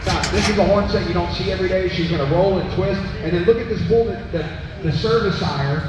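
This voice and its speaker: A high-pitched American man pitches livestock with folksy enthusiasm over an arena sound system. His voice echoes heavily and sits in loud background noise, as live auction commentary. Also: brisk. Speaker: livestock auction ring announcer describing pedigrees. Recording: echoey noisy arena PA, distant.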